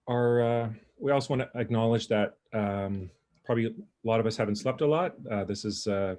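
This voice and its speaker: Man, low voice, event co-host, halting and earnest delivery, video call. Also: a little mellow. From North Vancouver, Canada. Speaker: symposium co-host. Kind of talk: informal opening remarks to a group.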